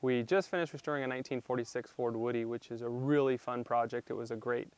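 A man's voice: mid-range voice, fairly even intonation, fast talker, darkish tone, no room sound. A young man from California with a Californian American accent, talking casually and easily in an interview about his own life and work. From Sonoma County, California, US. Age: young adult. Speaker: winery viticulturist. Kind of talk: casual on-camera interview for a promotional profile. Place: outdoor garden patio beside a building. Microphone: lapel mic.

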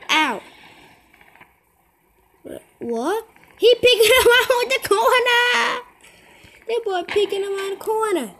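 A teenager giving casual, excitable commentary while playing a mobile game, repeating short phrases and calling out to other players. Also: extremely high pitch, a little hiss or hum. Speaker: gamer. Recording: game music loud behind voice.

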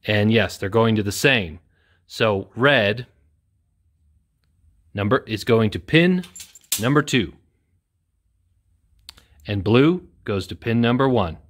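A man's voice: fairly low pitch, lively intonation, slow pace, pauses often. A man in his thirties hosting a casual how-to repair demonstration in an indoor workshop, talking in a plain-spoken, self-deprecating way. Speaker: welding video host. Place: indoor workshop with corrugated metal wall.

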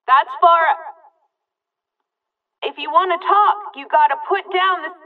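A woman voicing a firm, wary sentry in a video game, folksy and commanding, through a radio or loudspeaker filter. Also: extremely high pitch, unhurried. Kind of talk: dramatic video game dialogue. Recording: tinny, distant loudspeaker-like band.

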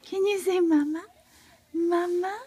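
A woman's voice: very high-pitched, steady tone, unhurried, dark tone. A woman talking playfully to a baby, cooing and repeating short phrases.

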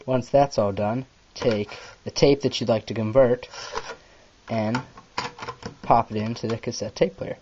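A man's voice: medium pitch, steady tone, measured pace, pauses often, dark tone, muffled recording, a little hiss or hum. A man narrating a step-by-step computer tutorial as its host.

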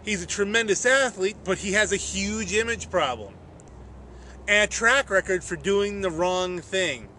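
A man's voice: sits high, unhurried, bright, forceful tone. A middle-aged man giving conversational, emphatic sports commentary to a camera in an open field. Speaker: vlogger. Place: open grassy field outdoors. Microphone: camera at a short distance. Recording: outdoor camera audio with noise.

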